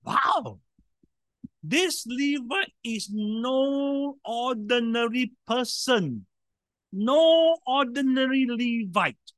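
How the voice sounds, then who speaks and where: A man preaching a sermon in a loud voice, emphatic, with sudden swings in volume and frequent rhetorical questions. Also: extremely high pitch, expressive, drawn-out words, no room sound.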